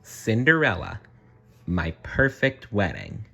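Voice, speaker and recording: A man reading a children's bedtime story aloud in an expressive way, with chatty asides to the listener. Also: lowish voice, slow, gravelly, soft background music. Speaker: storybook reader.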